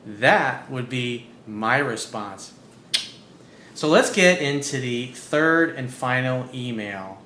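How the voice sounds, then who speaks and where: A man working as a dating coach, giving blunt, profane advice as he reads out listener emails. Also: takes it slowly. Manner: blunt and profane, animated, repeats key points. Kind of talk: casual advice monologue reading out emails. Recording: roomy echo with faint low rumble.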